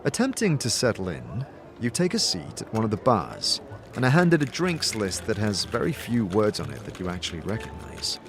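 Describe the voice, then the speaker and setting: A man's voice: fairly low pitch, wildly swooping pitch, moderate pace, background noise. A man narrating a scripted story in the second person, as the narrator of an audio drama.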